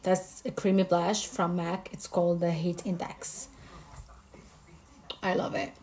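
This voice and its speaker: A woman's voice: on the low side, flat delivery, unhurried, a little mellow, slight background noise. A woman with a Moroccan accent, a makeup artist casually explaining each step of her makeup routine.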